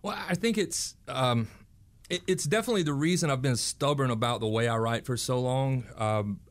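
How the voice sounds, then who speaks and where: A singer-songwriter in his forties talking casually and reflectively between songs, often pausing and restarting his sentences. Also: mid-range voice. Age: 40s. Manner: reflective, halting, many fillers and restarts.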